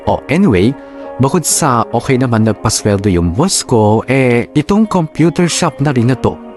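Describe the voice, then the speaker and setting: A man's voice: medium pitch, darkish tone. A man narrating a drama story in the first person, in a chatty storytelling manner.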